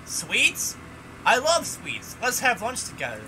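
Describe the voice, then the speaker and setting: A man voicing a cheerful, bubbly schoolgirl who is eager and excited. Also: very high voice, takes it slowly, a little hiss or hum, voice pushed up, heavily acted, rough recording. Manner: excited, bouncy.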